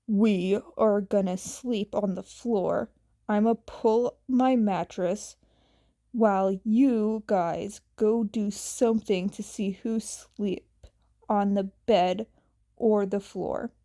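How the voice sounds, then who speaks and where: A woman's voice: medium pitch, steady tone, slow pace, warm tone. A woman voicing a bossy, exasperated young man in a story, with dry, casual, slangy lines. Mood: firm, exasperated. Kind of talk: acted storybook dialogue.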